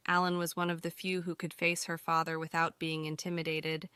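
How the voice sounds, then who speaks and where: Casting: female fantasy audiobook narrator, bright, extremely clear voice, scripted storytelling. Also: deep voice, little pitch movement, brisk, dry recording, clean studio sound.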